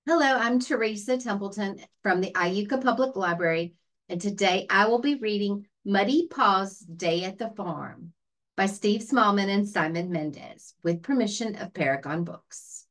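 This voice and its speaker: A woman reading a children's story aloud as a librarian, expressive and deliberate. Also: medium pitch.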